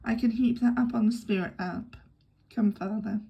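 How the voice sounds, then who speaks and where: A woman reading an audiobook, voicing a stern, thrifty older matron who speaks in a deep, slow, disapproving way. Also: fairly even intonation, gravelly, husky, highs cut off, exaggerated voice. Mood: severe.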